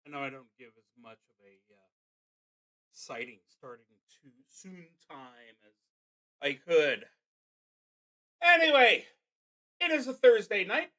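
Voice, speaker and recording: A man with a fairly high, bright voice introduces a live stream. His pitch moves around a great deal. He talks casually but theatrically, punching some phrases hard and letting others drop away. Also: rough recording. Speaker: live-stream host, solo roleplaying gamer.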